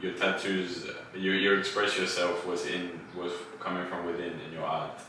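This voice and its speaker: A man's voice: deep voice, level tone, moderate pace, sharp tone. A man hosting a podcast, talking casually as he interviews a guest. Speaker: podcast host. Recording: roomy echo, distant mic, faint noise.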